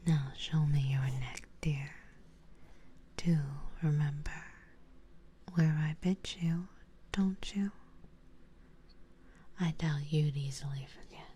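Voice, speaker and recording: Woman playing a slow, whispering, genteel vampire lady. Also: low-pitched, steady tone, full character voice. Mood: seductive, savoring. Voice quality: soft, breathy, mostly whispered. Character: elegant, doting, possessive female vampire noble. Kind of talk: ASMR roleplay.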